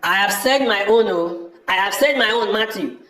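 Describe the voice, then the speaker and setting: A man's voice: high voice, moderate pace, pushed and bright. A man with a Nigerian accent playing a stubborn, fed-up village brother in an amateur audio drama, dismissive and insistently repeating himself. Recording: echoey room.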